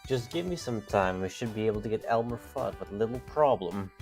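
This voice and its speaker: A man's voice: lowish voice, animated, brisk, darkish tone, faint music behind. A man voicing a thoughtful, cautious strategist in an amateur cartoon comic dub. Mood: pondering.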